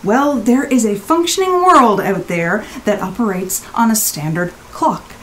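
An actress playing a blunt, exasperated rental guest in an audio drama, with emphatic, clipped phrases. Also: female voice, mid-range voice, expressive, a little slow, fairly bright tone, a little hiss or hum. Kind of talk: naturalistic audio drama acting.

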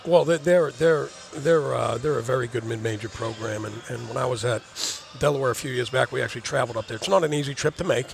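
Gravelly middle-aged coach, rambling talk. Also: male voice, medium pitch, fast talker, few pauses. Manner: rambling, repeats words while thinking. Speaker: assistant basketball coach. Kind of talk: postgame interview. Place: studio set in a gym, indoors. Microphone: headset mic.

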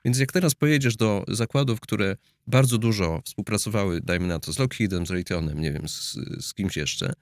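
Middle-aged male analyst, somewhat low, dry studio mic, thoughtful and halting. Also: dry recording. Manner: hesitant, many fillers and restarts, gestures while explaining. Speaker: security analyst guest. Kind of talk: conversational expert interview. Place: studio with blue backdrop. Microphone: studio mic on boom arm.

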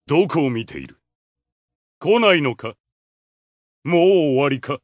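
A man's voice: fairly high pitch, lively intonation, brisk, dry recording, clean studio sound. A man voicing a haughty, ruthless video game supervillain with a god complex, contemptuous, menacing and commanding. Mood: cold, haughty. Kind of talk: Japanese fighting game voice acting.

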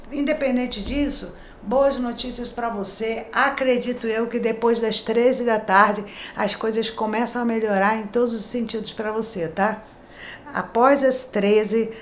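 A woman's voice: mid-range voice, echoey room, slight background noise. A middle-aged woman from Brazil giving a daily horoscope tarot reading in a chatty, reassuring way, often checking in with tag questions. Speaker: tarot reader. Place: small decorated room indoors.

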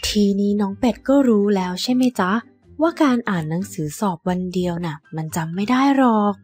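Woman narrator as patient mother, gentle explaining, mid-range voice, cartoon storytelling, music behind. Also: mid-range voice, lively intonation, fairly quick, slightly rough. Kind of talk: children's cartoon story acting.

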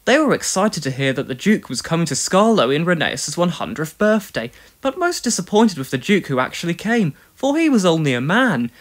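Lively man reading a children's storybook. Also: fairly high pitch, fairly quick, hardly any pauses. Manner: expressive read-aloud delivery. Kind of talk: scripted children's storybook narration.

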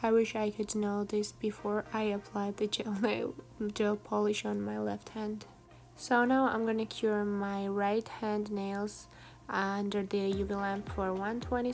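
A woman giving a casual, chatty tutorial voice-over in a self-deprecating way, like a beauty vlogger. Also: mid-range voice. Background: background music.

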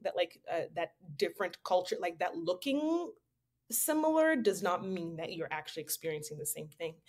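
Zambian woman, playwright guest on a podcast, slightly low voice, thoughtful and chill, laughs easy. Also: lively intonation, moderate pace, a little mellow. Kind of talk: casual interview chat.

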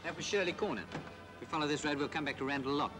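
An actor as anxious, fast-talking British passenger. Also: male voice, fairly high pitch, over music, lightly acted. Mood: wary. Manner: agitated, blunt, protesting. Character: anxious, indignant working man passenger, Fred. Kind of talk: 1940s film acting.

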